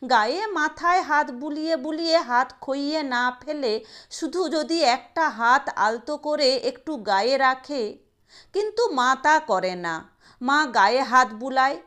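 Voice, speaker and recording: A high-pitched female storyteller reads literary fiction at a very slow, measured pace. Her narration is expressive, in the manner of an audio short story.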